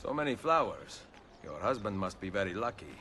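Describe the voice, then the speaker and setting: A man playing a confident, smooth-talking Italian nobleman and assassin in a video game cutscene, with an Italian accent. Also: fairly low pitch, big pitch swings, fairly quick, sharp tone, slight background noise. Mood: smooth, flirtatious.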